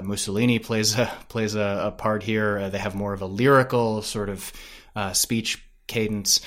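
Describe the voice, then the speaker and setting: A man's voice: on the low side, fairly bright tone. A man speaking as a podcast guest, explaining in a hesitant, reflective way. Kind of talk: reflective interview talk.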